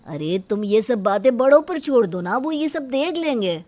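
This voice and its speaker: A woman's voice: on the high side, very animated, normal speed, dark tone, no room sound. A woman voicing an evasive young fiancé in a story drama, placating and dismissive in manner. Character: evasive young fiancé, indulgent brother and dutiful son. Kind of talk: dramatised Hindi moral story acting.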